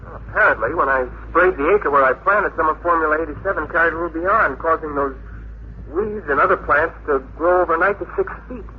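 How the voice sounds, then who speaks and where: A man's voice: a little high, fairly quick, natural voice. An actor playing an earnest male scientist in a 1950s radio drama, serious and dramatic as he tells of his discovery. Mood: puzzled, alert. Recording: hissy, tinny old radio recording.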